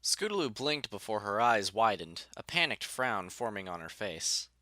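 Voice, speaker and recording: A man reads scripted story narration in a fairly low voice. His tone is bright and forceful, and the recording is dry with no room sound. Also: sing-song, normal speed, no echo.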